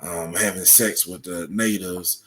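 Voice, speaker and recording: Low-voiced man explaining analytically. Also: fairly even intonation, fairly bright tone. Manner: measured, analytical, explains with examples. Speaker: podcast guest, commentator. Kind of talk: explanatory interview talk.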